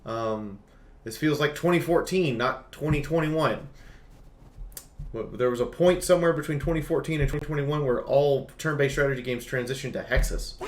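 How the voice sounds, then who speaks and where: A man's voice: mid-range voice, moderate pace, roomy sound, slight background noise. A man giving opinionated game review commentary, speaking bluntly and emphatically and repeating phrases for stress.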